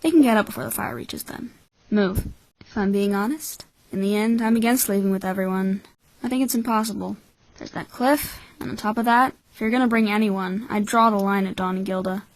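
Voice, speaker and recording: A woman acts out a coolly bitter young orphan boy, calculating and cynical, at a middling pitch for a female voice. The delivery is blunt and measured, like an anime dub, with a dry, close sound. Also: no room sound, natural voice, rough recording. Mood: resigned, blunt. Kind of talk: anime-style fan dub acting.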